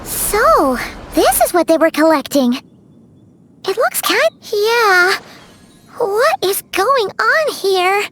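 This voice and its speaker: A woman voicing a small, excitable, childlike fairy companion in a video game, squeaky and chatty. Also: slow, a little hiss or hum, exaggerated voice. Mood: surprised, curious. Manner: chatty, refers to herself in third person. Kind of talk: video game character acting. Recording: background music under the voice.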